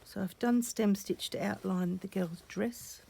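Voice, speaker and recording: A woman recovering from a cough, chatting casually while she stitches, often dropping to soft half-whispers as she thinks aloud. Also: mid-range voice, measured pace, vocal fry, breathy edge. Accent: Australian. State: croaky from a cough. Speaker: stitching channel host. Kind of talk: casual crafting vlog chat.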